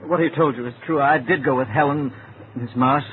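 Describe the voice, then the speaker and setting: An actor playing an anxious, defensive murder suspect explaining himself earnestly in an old-time radio drama. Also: male voice, medium pitch, steady tone, a little fast, lightly acted. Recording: tinny, noisy old radio recording.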